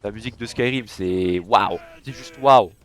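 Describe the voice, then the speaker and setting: A man's voice: fairly low pitch, normal speed. Chatty man with a stuffy cold. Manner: chatty, swearing, jumping to excited yelps. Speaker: gaming YouTuber. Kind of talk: casual gameplay commentary.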